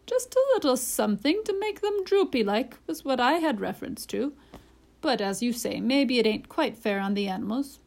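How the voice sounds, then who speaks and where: Storybook tradesman, read by a woman: folksy, rough grammar, eager salesman, lively swings. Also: on the high side, moderate pace. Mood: coaxing. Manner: colloquial, ungrammatical, persuasive. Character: folksy, uneducated working man, eager and scheming.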